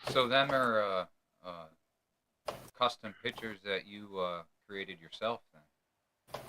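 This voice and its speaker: A man's voice: lowish voice, takes it slowly, background noise. A man from New York hosting a casual podcast interview, often stumbling and repeating words as he asks his questions. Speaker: podcast host.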